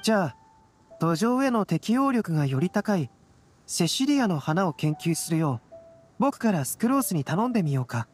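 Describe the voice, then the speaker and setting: A man voicing a calm, intellectual young alchemist in a Japanese video game, dryly polite and deadpan. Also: high-pitched, normal speed. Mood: composed, dry. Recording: faint music behind the voice.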